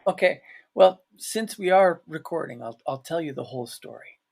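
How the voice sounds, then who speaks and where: A man, a guest on a podcast, talking on a call from his office in a halting way, full of restarts. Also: a little high, animated, creaky, a little mellow. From Canada. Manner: halting, with restarts and "you know, like". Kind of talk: casual interview on a call.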